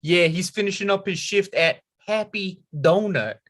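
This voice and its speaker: A man's voice: high voice, a little slow, a bit bright. A man reading the part of a laid-back, self-important amateur filmmaker buddy at a comedy table read. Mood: breezy, self-assured.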